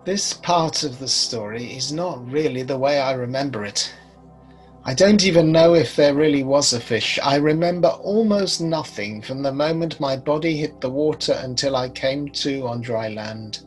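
A man in his sixties playing an older, rueful prophet recalling his past in a measured, reflective dramatic monologue. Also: mid-range voice, soft background music, natural voice. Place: home study, indoors. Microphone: video call.

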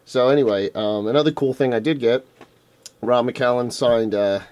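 A man's voice: medium pitch, normal speed, darkish tone. A man losing his voice, talking casually and rambling with lots of fillers as he shows off retro games on a table indoors. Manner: rambling, filler-heavy, enthusiastic. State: losing his voice, throat clearing. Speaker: retro game collector vlogger. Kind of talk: casual unboxing vlog. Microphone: camera mic off-screen, hands on table.

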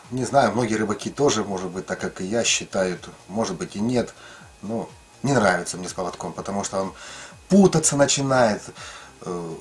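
A middle-aged man casually explaining his ice fishing tackle, rambling with many fillers and restarts. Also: on the low side. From lived in Ukraine, now in the Russian far north.

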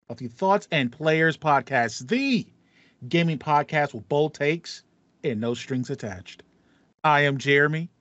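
A man's voice: a little high, dry recording. An American man in his thirties co-hosting a casual gaming podcast, talking in a chatty, playful, slangy way. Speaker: podcast co-host. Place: small home room with acoustic foam, indoors. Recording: slightly dull, dry home recording.

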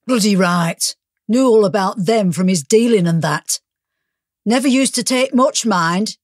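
Actress for hardened Northern English working-class mother, gritty audio drama. Bitter, slangy, unhurried delivery, very dry sound. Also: mid-range voice, dry recording, natural voice. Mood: guarded, evasive. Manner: blunt, slangy, sweary. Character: bitter, hard-drinking working-class mother, grieving and defiant.

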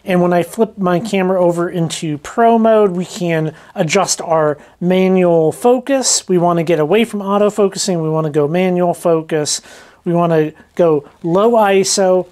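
A woman with a fairly low voice talks through a hands-on demonstration in a casual vlog style. She is informal and thinks aloud as she works. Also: moderate pace, warm tone. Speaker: rockhounding vlogger.

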